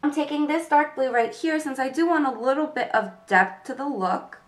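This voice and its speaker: A young woman with a cold and a congested voice, calmly talking through a makeup tutorial step by step. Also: sits high, moderate pace. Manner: calm step-by-step explaining with fillers. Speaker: beauty vlogger.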